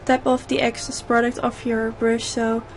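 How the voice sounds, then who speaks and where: A young woman with a slightly hoarse voice from a sore throat, calmly explaining each step of a makeup tutorial in a voice-over. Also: a little high, moderate pace. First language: Dutch. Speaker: makeup YouTuber.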